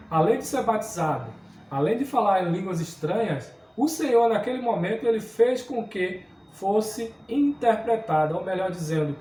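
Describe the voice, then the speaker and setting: A man's voice: high voice, warm tone, roomy sound, far from the mic, slight background noise, rough recording. A man from southern Brazil teaching a Sunday school Bible lesson, earnest and explanatory, often checking in with his listeners. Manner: earnest teaching, frequent tag questions. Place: church room.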